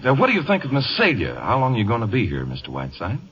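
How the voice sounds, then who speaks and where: A reverberant, muffled old radio recording of an affable male newspaper reporter. He talks quickly and briskly, in the manner of 1950s radio comedy. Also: medium pitch, huge pitch swings, fairly bright tone. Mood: wry.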